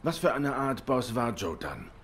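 An actor playing a cool, courteous cat private detective in a noir video game, questioning in a measured, polite way. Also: male voice, medium pitch, very animated, a bit bright, a little hiss or hum. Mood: calm, probing.

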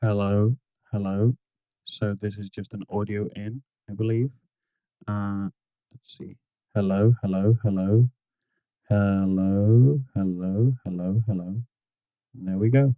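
Low, smooth-voiced man giving a tutorial. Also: steady tone, takes it slowly, no echo, narrow, tinny audio. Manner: unscripted, step-by-step explaining. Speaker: presenter of synth tutorials. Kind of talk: informal instructional demonstration.

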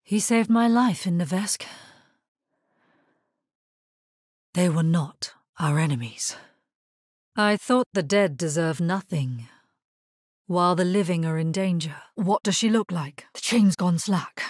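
Husky, grave necromancer heroine. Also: female voice, lowish voice, expressive, unhurried, a little mellow, no echo, clean studio sound. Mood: grave, resolute. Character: grave, resolute female necromancer hero. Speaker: video game voice actor. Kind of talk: scripted video game character lines.

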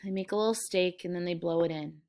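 A woman voicing a weary, gruff but protective ranch-hand man in a storybook reading, quiet and flat, with terse rural speech. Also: on the low side, fast, close to an everyday voice. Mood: quiet, resigned.

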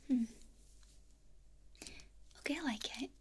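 A woman in her twenties speaking in a soft, breathy whisper, gently giving close-up personal attention in an ASMR style. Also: high voice, takes it slowly, slight background noise. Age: 20s. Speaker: ASMR host. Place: small furnished room indoors. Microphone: close ASMR microphone, off screen.

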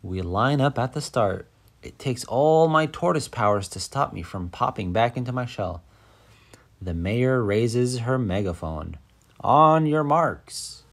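Animated man reading a children's story. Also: medium pitch, unhurried, vocal fry. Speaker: storyteller reading a picture book aloud. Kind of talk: expressive children's read-aloud narration.

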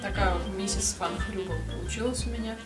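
A woman's voice: medium pitch, brisk, loud music behind the voice. A woman in her thirties hosting a craft vlog from a cluttered home workshop, chatting with viewers in a friendly, casual way. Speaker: woodcarving channel host. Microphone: camera mic at a distance. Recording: roomy, distant, noisy workshop audio.

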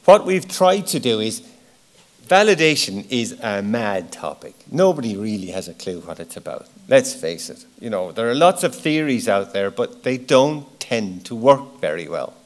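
A man's voice: on the low side, big pitch swings, normal speed. A middle-aged man giving an informal, explanatory keynote at a lectern, plain-spoken, stressing key words and letting phrase ends trail off. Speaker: keynote speaker. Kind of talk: conference presentation, informal and explanatory. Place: large wood-panelled auditorium, at a lectern. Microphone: lectern gooseneck microphone.

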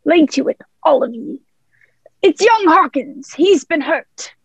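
Young woman acting a high, creaky pirate man. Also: lively intonation, takes it slowly, no echo, lo-fi sound, full character voice. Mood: commanding. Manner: salty pirate dialect. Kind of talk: stage-style radio play acting.

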